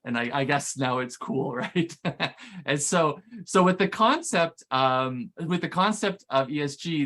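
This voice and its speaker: A man's voice: on the high side, fairly bright tone. A man giving a conversational conference presentation, with frequent restarts and fillers. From Canada. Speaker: conference speaker.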